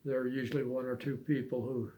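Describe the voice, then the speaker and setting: An older man chatting casually as an interviewee, recalling things in a halting, hesitant way with long pauses. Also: mid-range voice, flat delivery, dark tone. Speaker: amateur harmonica player being interviewed. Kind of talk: casual reminiscing chat.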